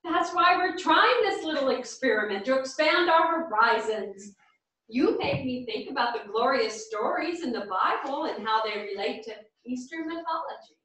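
A woman's voice: sits high, lively intonation, moderate pace, pushed and bright, slight background noise. A woman playing a free-spirited widow with Zen leanings in a staged play reading, recorded in a theater. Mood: musing, encouraging. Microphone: far camera. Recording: echoey theater, distant camera microphone.

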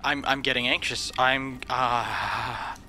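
A man's voice: mid-range voice, fairly even intonation, slow, pushed and bright, soft background music. A man reacting live to a video game, jumpy and exclaiming, often panicky and rambling. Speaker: gameplay streamer.

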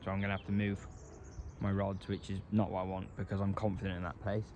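Low-voiced man vlogging by a lake. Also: completely flat delivery, fast, very noisy. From England. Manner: low-key, resigned, chatty with many "um"s. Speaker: carp fishing vlogger. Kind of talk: casual vlog to camera. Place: outdoors by a lake. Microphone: phone camera held at arm's length.

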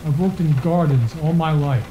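An actor playing a rule-obsessed rental owner in a horror audio drama, measured and politely matter-of-fact in his menace. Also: a little high, reverberant, muffled recording, noisy background, lightly acted. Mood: calm menace.

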